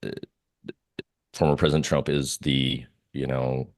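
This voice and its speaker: A man's voice: very deep voice, level tone, a little slow. A man giving casual market commentary as an interview guest, informal and often repeating himself as he thinks aloud.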